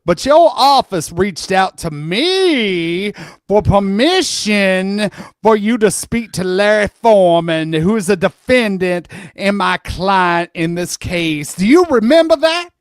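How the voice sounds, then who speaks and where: A high-pitched man acting as a male lawyer works through a deposition reading. He is slow and insistent, rewording questions as he presses the witness. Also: expressive, smooth, no echo, studio quality. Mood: probing. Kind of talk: dramatic reading of a deposition transcript.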